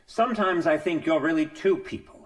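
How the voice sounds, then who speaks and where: A man's voice: fairly high pitch, brisk, close to an everyday voice. A man playing a suave stage actor in the role of a teasing husband, in a stagey radio drama style. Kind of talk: theatrical radio drama acting.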